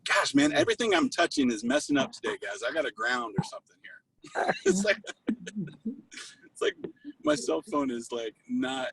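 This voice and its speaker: Male host, effusive and sentimental, animated delivery with a husky edge, informal video-call chat. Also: a little high, moderate pace, dry recording, rough recording. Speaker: host of an online spiritual study group.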